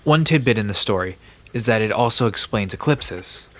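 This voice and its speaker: A man's voice: lowish voice, expressive, moderate pace, a bit bright. A man playing a laid-back, bookish park resident in an audio drama, casually explaining myths with a dry manner. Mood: amused, informative. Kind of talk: conversational audio drama storytelling.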